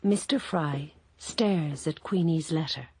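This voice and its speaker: A woman narrating a radio drama in a measured, unhurried storytelling manner. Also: low-pitched, mellow, slightly rough, no room sound. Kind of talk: scripted narration.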